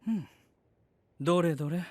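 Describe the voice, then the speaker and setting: An actor playing a cynical, analytical young knight who talks in stiff deadpan phrases, in an anime audio drama. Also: male voice, on the high side, normal speed, natural voice. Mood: detached. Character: coolly logical, cynical young fantasy knight, scientific observer.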